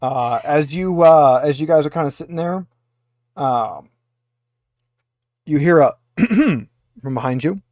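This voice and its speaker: A man acting as game master, improvising narration for a tabletop game in a halting, informal way. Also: fairly high pitch, darkish tone, no echo.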